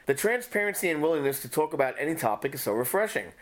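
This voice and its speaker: A nasal-voiced man co-hosting a faith podcast, reading listener letters aloud at a middling pitch that moves around a lot. He drops dry jokes between the lines. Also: very animated, fast talker. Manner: dry, joking asides while reading. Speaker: podcast co-host.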